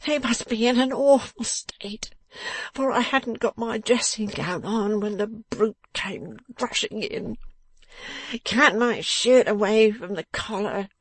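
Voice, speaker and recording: A pathetic, battered young gentleman from a Victorian storybook, played by a woman narrator. The voice is pitched up and strained, swinging widely as it whines and groans in drawn-out, self-pitying complaint. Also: big pitch swings, breathy edge, dry recording, exaggerated voice. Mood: pleading, suffering. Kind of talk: audiobook character voice from a Victorian novel.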